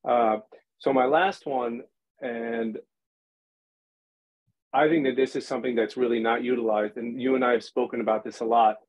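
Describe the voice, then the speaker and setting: A man who owns a gym, talking as a podcast guest in a quick, rambling way with lots of restarts. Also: mid-range voice, fairly even intonation, darkish tone, rough recording. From New York City. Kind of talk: casual podcast interview.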